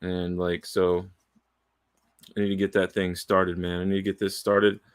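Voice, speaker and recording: A man in his late thirties rambling candidly into his home microphone, profane and wandering, with restarts and trailing pauses. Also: low voice, level tone, clean tone, dry recording, studio quality. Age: 30s. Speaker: aspiring voiceover artist. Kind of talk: casual rambling monologue. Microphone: studio microphone, close and dry.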